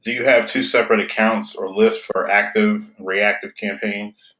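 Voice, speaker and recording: A man hosting a live webinar Q&A, casually reading audience questions aloud with pauses between them. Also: fairly low pitch, fairly even intonation, bright, forceful tone. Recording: roomy echoey sound.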